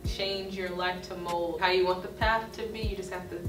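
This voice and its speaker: A woman in her twenties chatting casually as an interview guest, candid and animated, with slangy wording. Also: fairly low pitch, moderate pace. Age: 20s. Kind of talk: casual chat. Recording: roomy, distant mic, music behind. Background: music.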